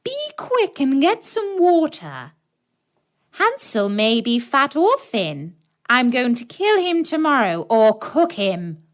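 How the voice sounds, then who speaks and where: A woman voicing a wicked, greedy, bossy old witch in a children's fairy tale, jeering and commanding with drawn-out words. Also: sits high, big pitch swings, voice pushed up, full character voice. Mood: harsh, impatient.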